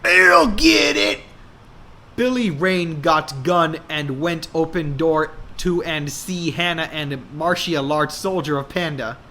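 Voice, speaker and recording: A man narrating a comedic dramatic reading of fan fiction, deliberately reading every error as written. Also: a little high, a bit bright.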